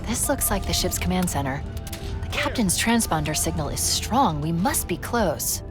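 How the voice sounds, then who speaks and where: Brisk female game AI companion, articulate and analytical, under loud cinematic music. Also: on the low side, big pitch swings. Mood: focused. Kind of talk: video game cutscene voice acting.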